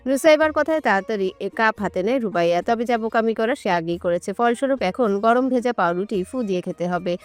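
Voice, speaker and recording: A woman's voice: fairly high pitch, animated, a little fast, few pauses, faint music behind. A woman giving a scripted narration of a romantic story.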